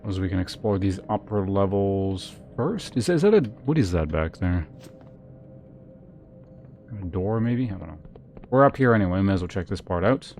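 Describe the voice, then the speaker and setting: A man's voice: low voice, expressive, normal speed, long pauses, darkish tone. A man streaming a video game with casual live commentary, thinking aloud in a rambling way. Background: music.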